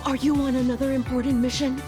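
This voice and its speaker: A woman voicing a gushing high ticket clerk. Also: level tone, fast talker, over music, close to an everyday voice. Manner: gushing, eager. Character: grateful excitable female ticket clerk. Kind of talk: game voice acting.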